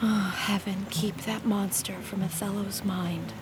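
An actress playing a gentle, anxious noble wife in a Shakespearean audio drama, earnest and gracious. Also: female voice, medium pitch, steady tone, unhurried, background noise, lightly acted.

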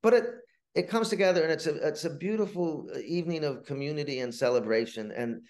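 Man telling stories with halting restarts. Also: on the high side, darkish tone. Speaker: guest, playwright and TV writer. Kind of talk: casual anecdotal interview talk.

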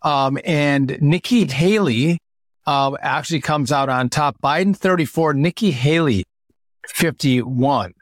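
A man's voice: a little high, slow, no room sound, studio quality. A man hosting a casual political chat podcast, stuffy with a runny nose, walking through poll numbers with many fillers. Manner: talks through numbers with frequent fillers and restarts. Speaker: podcast host.

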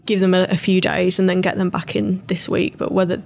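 An English woman co-hosting a football podcast, calm and measured, with frequent ums and restarts. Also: on the low side, gravelly. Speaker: podcast co-host. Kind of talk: casual chat.

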